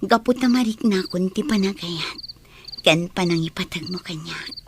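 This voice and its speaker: A woman acting a gentle, contented young wife and mother in an Ilocano radio drama. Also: on the low side, moderate pace, background noise, close to an everyday voice. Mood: affectionate.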